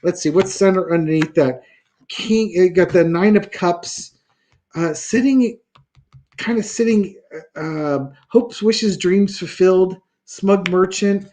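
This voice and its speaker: A man with a high voice lays out a tarot reading in a relaxed talk-show chat. He thinks aloud as he goes, full of fillers and restarts. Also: a little slow. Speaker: tarot reader.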